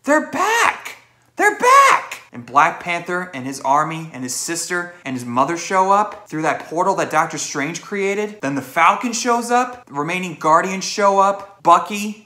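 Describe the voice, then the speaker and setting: An excitable, emphatic man giving an animated movie review and retelling scenes. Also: a little high, echoey room. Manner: excitable, emphatic, acts out reactions.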